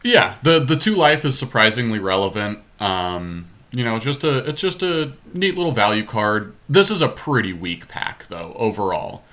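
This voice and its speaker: Man with a forceful, bright low voice. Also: sing-song, moderate pace, hardly any pauses. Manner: thinks aloud, conversational, analytical. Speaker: podcast host and player. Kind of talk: casual live card game draft commentary.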